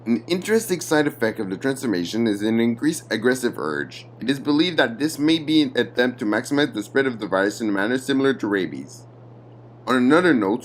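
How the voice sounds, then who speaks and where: A man narrates a clinical horror document in a formal, measured way. His pitch is average for a man. The recording has a faint hum and noise behind the voice.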